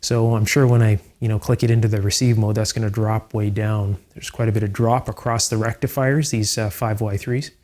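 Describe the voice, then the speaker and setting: A man hosting an electronics restoration video, explaining each step calmly and methodically, with frequent fillers. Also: fairly low pitch, a little fast, few pauses. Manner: calm, methodical, with fillers like "uh" and "you know". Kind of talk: hands-on technical explanation.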